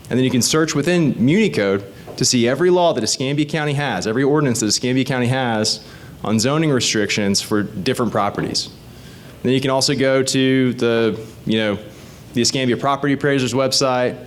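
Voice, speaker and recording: A man's voice: mid-range voice, a little hiss or hum. A man, a state legislative candidate giving an informal, explanatory talk to a community group and asking the audience questions. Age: 30s. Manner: conversational and explanatory, often asks the audience questions.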